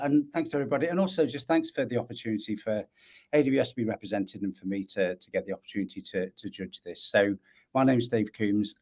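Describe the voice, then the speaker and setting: 40s guy judge on a call, low voice, talks fast, keen. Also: a little mellow. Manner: brisk and enthusiastic. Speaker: competition judge, tech team lead. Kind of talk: casual introduction on a video call. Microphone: video call.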